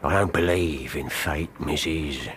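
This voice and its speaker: A man's voice: rumbling low, a little slow, a bit bright, slight background noise. An actor playing a humble working-class man in a radio drama, hesitant, deferential and bashful.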